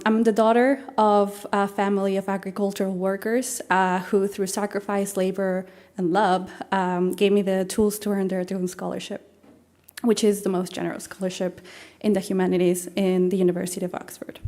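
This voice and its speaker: A young woman with a Mexican accent speaks at a memorial event. Her voice is mellow and set at an ordinary pitch. She talks in a measured, prepared way, with frequent ums and uhs between phrases. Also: level tone. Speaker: scholarship recipient giving a speech. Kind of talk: formal prepared speech.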